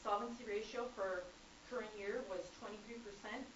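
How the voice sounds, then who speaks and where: A woman, an accounting instructor, giving a formal prepared public comment at a college board meeting in a measured, businesslike way. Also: medium pitch, fairly even intonation. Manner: measured, businesslike, reading figures. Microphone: speaking without a microphone. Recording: echoey distant room recording, dull, noisy.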